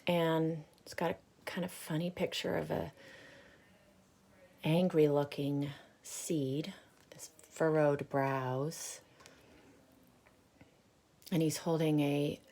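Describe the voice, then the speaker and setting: A woman reading a picture book aloud to children, adding gentle asides and questions for her listeners. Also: low voice, gravelly. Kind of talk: read-aloud storytime with commentary for children.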